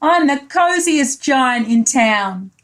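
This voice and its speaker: Woman voicing a kind, sing-song storybook giant, high and slow. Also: lively intonation, a bit bright, full character voice. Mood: delighted. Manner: sing-song, reciting rhymes to himself. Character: kind, generous, cheerful giant man, storybook hero.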